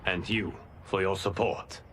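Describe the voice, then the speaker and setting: An actor playing a stern, commanding divine protector in a video game, formal and measured, with authority. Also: male voice, deep voice, fairly even intonation, background noise. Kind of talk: cinematic video game dialogue. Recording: music behind the voice.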